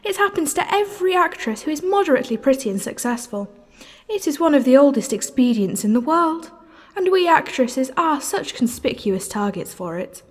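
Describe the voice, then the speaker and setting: Audio drama casting: a worldly, condescending stage star, high voice with animated, theatrical swoops, reverberant hall. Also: female voice, normal speed. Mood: knowing, condescending. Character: poised, condescending, worldly successful stage actress.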